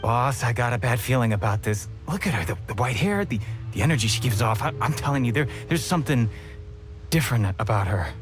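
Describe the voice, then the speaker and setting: A man's voice: mid-range voice, animated, a little fast, faint music behind, lightly acted. An actor playing a nervous, hesitant gang henchman in an anime game, jittery and stammering. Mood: uneasy.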